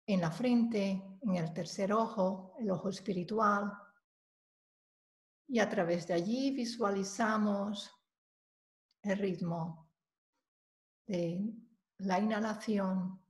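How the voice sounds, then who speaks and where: A woman leading a guided meditation, giving calm, measured instructions with long pauses between phrases. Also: mid-range voice. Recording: roomy, echoing voice recording.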